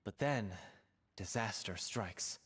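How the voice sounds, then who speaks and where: An actor playing an earnest, excitable male theatre director and scriptwriter in a video game, with a slightly husky voice and dramatic storytelling manner. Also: on the low side, slow pace, exaggerated voice. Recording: reverberant, music underneath.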